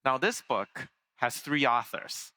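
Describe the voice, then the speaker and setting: Male keynote speaker from Taiwan, bright forceful tone, chatty delivery with "uh"s and restarts. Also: mid-range voice, animated, slow. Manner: conversational, with fillers and restarts. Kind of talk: conference keynote talk. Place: large conference hall.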